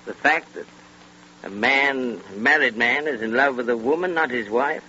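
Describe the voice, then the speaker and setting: An actor playing a seasoned British detective inspector, thoughtful and assured, in 1930s radio style. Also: male voice, medium pitch, normal speed, pushed and bright, natural voice. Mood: reflective, assured. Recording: noisy, muffled 1930s radio recording.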